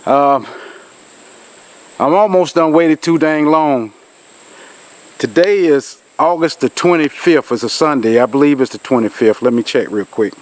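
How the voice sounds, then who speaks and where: A man's voice: fairly high pitch, a little slow, pauses often. A man, nasal from a cold, rambling in a folksy way as he talks to a camera on a tripod, out in a rural field. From Georgia, US. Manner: rambling, folksy, with many fillers. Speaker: outdoors channel host. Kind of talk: casual vlog talk to camera.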